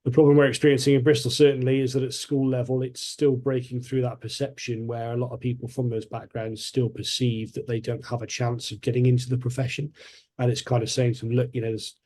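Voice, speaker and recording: Male panellist, warm low-key tone, hesitant and rambling delivery. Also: level tone, quick pace, slight background noise. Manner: rambling, hesitant, many restarts. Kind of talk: panel discussion.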